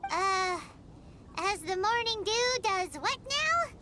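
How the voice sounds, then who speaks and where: A squeaky little fairy companion. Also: female voice, big pitch swings, takes it slowly, noisy background, exaggerated voice. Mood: confused. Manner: bewildered, blurts out questions. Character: small floating female fairy companion, chirpy and blunt. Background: game music.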